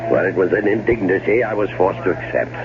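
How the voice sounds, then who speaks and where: A man acting in a 1970s American radio drama as a fussy, nervous middle-aged gentleman traveler who also narrates. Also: low voice, brisk, close to an everyday voice. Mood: wry, brooding. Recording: dull 1970s radio recording, loud noise.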